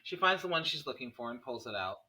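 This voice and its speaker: A man's voice: medium pitch, very animated, quick pace, pushed and bright, slight background noise, low-quality recording. A man reading stage directions aloud as narrator of a screenplay table read.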